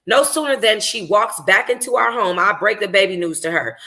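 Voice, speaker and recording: Bright-voiced woman reading a novel aloud. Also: mid-range voice, lively intonation, a little fast. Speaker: audiobook reader, book club host. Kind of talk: first-person novel narration read aloud.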